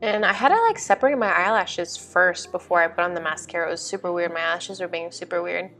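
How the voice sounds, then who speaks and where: A woman's voice: fairly low pitch, lively intonation, fast, a bit bright. A young woman casually narrating her makeup routine in an informal, chatty way. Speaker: vlog host. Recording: music behind the voice. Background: music.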